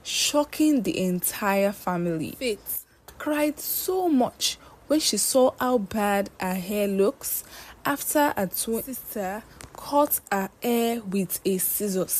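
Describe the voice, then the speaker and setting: A woman tells a scripted folktale in a mid-pitched voice. Her delivery is slow and measured, with animated intonation that moves freely up and down as she narrates. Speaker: storyteller.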